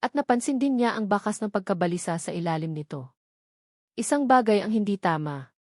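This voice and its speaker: Lady narrator doing a dramatic romance story, mid pitched voice, really dry recording. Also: lively intonation, brisk, a little mellow, no echo.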